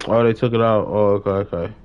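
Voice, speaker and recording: A man's voice: on the low side, fairly even intonation, quick pace, lo-fi sound. A young adult man chatting casually in street slang as he streams live gameplay. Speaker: game streamer.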